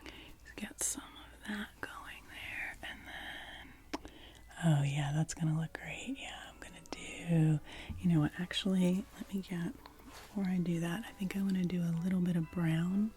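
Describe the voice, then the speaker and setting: Lady whispering asmr face painter roleplay, low voice, soft and warm, chatty. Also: steady tone, moderate pace. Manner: gentle, meandering, chatty.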